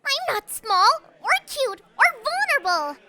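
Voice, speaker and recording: A small, cute girl in an audio drama, pouty and childlike. Her squeaky voice is extremely high and swings up and down. A busy crowd chatters behind her. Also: big pitch swings, moderate pace, very noisy. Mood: indignant, pouty.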